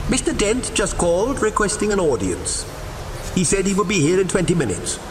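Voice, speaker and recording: An actor playing a dutiful butler in an audio drama, formal and proper. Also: male voice, high-pitched, wildly swooping pitch, normal speed. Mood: concerned, formal. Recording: echoing room with noisy ambience.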